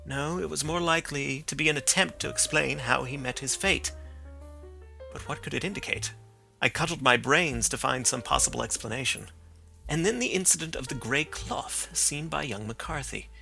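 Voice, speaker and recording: A man narrating a classic story as an audiobook, reading in a steady, reflective way. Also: fairly high pitch, normal speed, gravelly, faint music behind.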